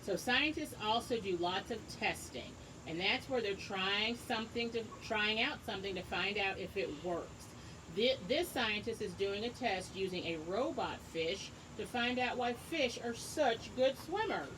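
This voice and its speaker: A woman teacher reading a book aloud to young children, encouraging them and pausing to ask questions and explain things simply. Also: mid-range voice, animated, background noise. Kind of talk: read-aloud lesson with asides and questions to children.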